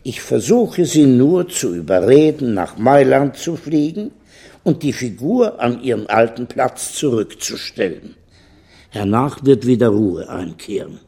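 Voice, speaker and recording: A man playing a smooth, calmly menacing corporate lawyer in a radio crime drama, speaking formally and with measured eloquence. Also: mid-range voice, full character voice. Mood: veiled threat. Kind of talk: German radio play dialogue.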